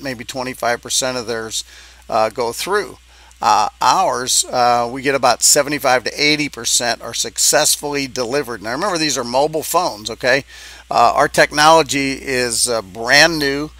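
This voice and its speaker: A man giving an informal sales pitch as a business coach, talking in a halting, conversational way and checking in with the listener. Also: sharp tone, no room sound.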